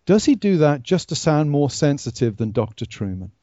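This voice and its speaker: A man's voice: on the high side, very animated, moderate pace, mellow, no echo. A man co-hosting a casual podcast chat, teasing his colleagues with dry humor. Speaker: podcast co-host. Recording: dry, dull lo-fi sound with faint music.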